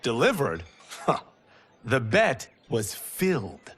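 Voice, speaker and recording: An actor playing a cocky, playful young martial-arts farmer in a video game, brash and teasing. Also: male voice, mid-range voice, huge pitch swings, slow, a bit bright, heavily acted. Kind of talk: video game cutscene acting.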